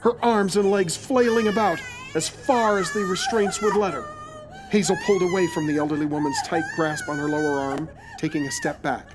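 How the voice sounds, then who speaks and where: A man's voice: high-pitched, animated, moderate pace, over music. A man narrating a scripted audio horror drama in a suspenseful, dramatic storytelling manner.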